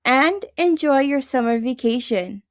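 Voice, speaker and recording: A woman's voice: high voice, expressive, a little slow. A young adult woman, a first grade teacher, reading a picture book aloud to her class and talking to her students.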